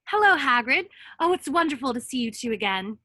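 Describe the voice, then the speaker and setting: A woman plays a bookish young witch in a table read. She sounds high and bright with lively, swooping intonation, eager and excitable, recorded close and dry. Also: fairly quick, no echo, heavily acted. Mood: eager excitement.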